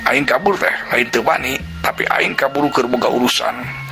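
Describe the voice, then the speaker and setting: A man's voice: mid-range voice, moderate pace, gravelly, over music, pitched above the performer's own voice, lightly acted. A man voicing a brash, cornered male bandit in a spoken storybook, coarse and defiant.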